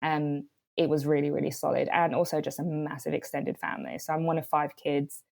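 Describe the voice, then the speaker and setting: A woman raised in London, with Swahili as her first language, speaks as a podcast guest. Her voice sits low, and the sound is dry and close. She talks casually and animatedly, laughing now and then as she tells stories. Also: steady tone, brisk, vocal fry, a little mellow, no echo. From London, England. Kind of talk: casual conversation. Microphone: video call.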